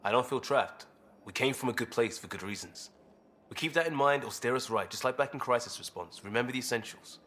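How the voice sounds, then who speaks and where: A man's voice: medium pitch, animated, a little fast, fairly bright tone, close to an everyday voice. A man playing an easygoing, earnest crisis responder and former cop in a video game, talking in a casual, blunt, wry way. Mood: earnest, hopeful. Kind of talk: video game cutscene dialogue.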